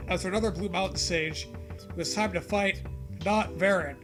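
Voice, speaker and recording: A man's voice: high voice, moderate pace, over music. A man reading a story aloud for comedy, breaking off to swear and riff casually.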